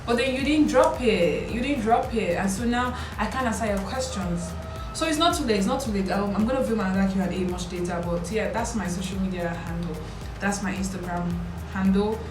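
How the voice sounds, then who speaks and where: A woman in her twenties from Lagos with a Nigerian accent, chatting casually and playfully to camera as she answers questions. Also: medium pitch. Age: 20s. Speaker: vlogger. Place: small indoor room with bare walls. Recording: roomy echo, distant mic, background music.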